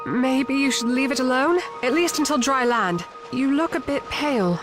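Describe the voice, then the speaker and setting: A woman's voice: sits high, normal speed, loud music behind the voice, lightly acted. A woman acting a resolute young fisherwoman in an audio drama, with an emphatic, practical delivery. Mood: concerned. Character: Rabia, resolute young fisherwoman of ancient Egypt.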